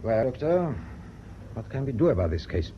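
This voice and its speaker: Man acting imperious ship's captain, animated delivery. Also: mid-range voice, normal speed, close to an everyday voice. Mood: shaken, grave. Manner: curt, commanding, scornful. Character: imperious, impatient German ship's captain in wartime. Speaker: actor in a radio play. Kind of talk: British radio drama acting. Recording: dull, noisy old radio recording.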